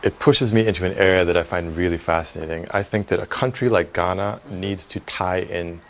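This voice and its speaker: A middle-aged American man with a fairly low voice gives an interview as a business executive. He speaks in an articulate, earnest, conversational way. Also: brisk, a little hiss or hum. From Cleveland, United States. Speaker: real estate executive being interviewed.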